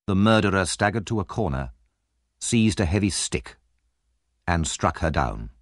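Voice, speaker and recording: A man's voice: deep voice, expressive, unhurried, no echo, studio quality. A man reading an audiobook as narrator, in a dramatic scripted storytelling style.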